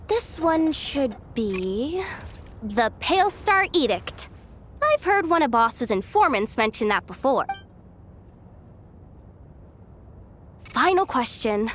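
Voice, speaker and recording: A woman voicing a plucky, self-doubting young female mechanic in a video game, with an expressive, chatty delivery. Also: high-pitched, a little hiss or hum, full character voice. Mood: nervous. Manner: expressive, chatty, hesitant when thinking aloud. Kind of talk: animated video game voice acting.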